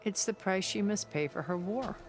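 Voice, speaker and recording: An actress playing a worldly, regretful, scheming middle-aged woman of a far-future elite in a video game, speaking in a measured, articulate, theatrical way. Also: medium pitch, close to an everyday voice. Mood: sly confidence. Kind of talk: dramatic video game cutscene acting.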